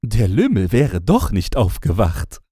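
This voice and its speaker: Man voicing a scornful princess, pitched high. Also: wildly swooping pitch, unhurried, dark tone, husky, no echo, studio quality, full character voice. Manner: scornful, dismissive. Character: haughty eldest princess, mocking and scornful.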